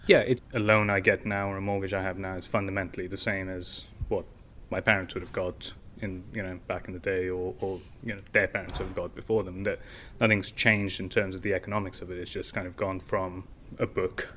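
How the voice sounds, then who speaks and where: A man's voice: sits low, level tone, fairly quick, hardly any pauses, a bit bright, slight background noise. A young man, a startup founder, answering in a casual interview with long explanations full of fillers and restarts.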